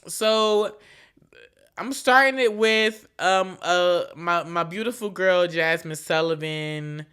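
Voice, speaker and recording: A woman's voice: on the low side, slow, fairly bright tone. A woman in her twenties hosting a solo podcast, talking casually in a frank, profane, slangy way that rambles with restarts and keeps checking in with the listener. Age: 20s. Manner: slangy, frank and profane, with frequent "you feel me" asides. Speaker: podcast host.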